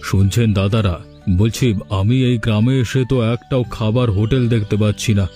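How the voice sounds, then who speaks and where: A man's voice: fairly low pitch, fairly even intonation, fairly quick, warm tone, close to an everyday voice. A man playing a polite, penniless and hungry traveller in a cartoon, pleading in manner. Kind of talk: cartoon dialogue. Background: background music.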